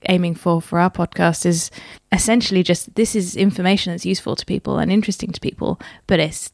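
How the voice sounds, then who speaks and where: A woman's voice: lowish voice, steady tone, fast, gravelly, dry recording, clean studio sound. Musing woman podcast co-host. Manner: musing, hesitant, laughs softly. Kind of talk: conversational podcast talk.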